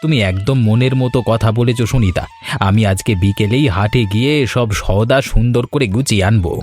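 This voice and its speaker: Man acting a good-natured young husband, medium pitch, earnest, storybook delivery, animated family tale, soft music. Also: fairly quick, lightly acted. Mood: earnest, agreeable.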